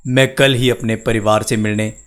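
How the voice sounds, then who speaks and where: A man's voice: on the low side, flat delivery, moderate pace, voice pushed down, close to an everyday voice. A man voicing a poor, weary village labourer and husband in a cartoon story, speaking earnestly and plainly. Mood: longing, hopeful. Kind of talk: Hindi cartoon story dialogue.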